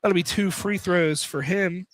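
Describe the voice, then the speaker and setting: A man's voice: high-pitched, fairly even intonation, a little slow, fairly bright tone. A man doing live play-by-play commentary of a college basketball game in an informal, conversational way. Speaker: play-by-play announcer. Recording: clearly noisy live radio broadcast.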